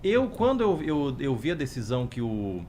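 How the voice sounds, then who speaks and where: A man's voice: medium pitch, very animated, normal speed, warm tone, slight background noise. A man from Brazil with a Brazilian Portuguese accent, hosting a casual chat and telling stories animatedly. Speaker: streamer host.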